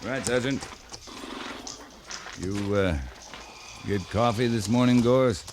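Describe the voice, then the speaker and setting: A man's voice: mid-range voice, takes it slowly, close to an everyday voice. A man playing a seasoned, commanding cavalry captain in a 1950s radio western, speaking in a clipped, dryly understated, authoritative way. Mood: wry, relaxed. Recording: noisy vintage 1950s radio recording.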